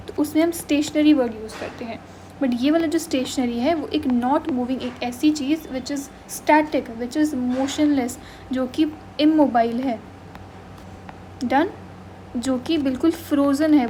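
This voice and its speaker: High-voiced woman teaching vocabulary. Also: mellow, a little hiss or hum, rough recording. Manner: mixes Hindi and English, frequent "thik hai" and "done" check-ins. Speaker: English teacher for exam prep. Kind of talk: online class explaining vocabulary.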